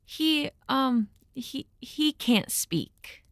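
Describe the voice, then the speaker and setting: A woman's voice: high voice, lively intonation, extremely slow, a bit bright, no room sound, studio quality, heavily acted. A woman playing a mischievous, giggly young village woman in an audio drama, with a playful, conspiratorial manner. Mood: hesitant, covering up. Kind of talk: acted audio drama dialogue.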